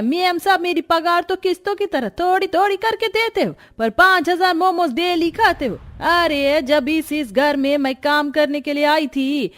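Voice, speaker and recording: A woman's voice: extremely high pitch, a bit bright, pitched above the performer's own voice. A woman voicing a hot-tempered, defiant housemaid in a cartoon, grumbling in colloquial street talk. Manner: colloquial street-style grumbling, refers to herself by name. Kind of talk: cartoon voice acting.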